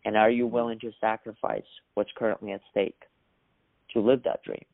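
A young man from Russia, a podcast guest telling his story in a measured, earnest way. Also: on the low side, level tone, creaky, no room sound. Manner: measured, earnest, occasional restarts. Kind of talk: reflective storytelling. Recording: dry, phone-like narrow sound.

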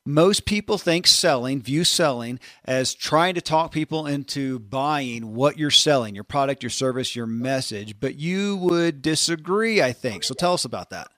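A man hosting a podcast interview, upbeat and quick through promotional reads. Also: medium pitch, animated, a bit bright, no room sound, clean studio sound. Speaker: podcast host.

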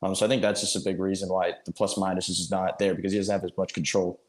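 A man's voice: sits low, flat delivery, fast. A man offering casual sports analysis as a panelist on a talk show.

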